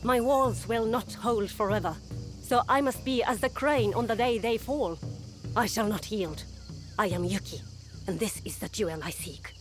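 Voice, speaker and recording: Game role: earnest, proud female fighter played by a woman, medium pitch, stately poetic delivery. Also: music in the background, close to an everyday voice. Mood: proud, defiant. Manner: formal, deliberate, poetic phrasing. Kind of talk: dramatic video game acting.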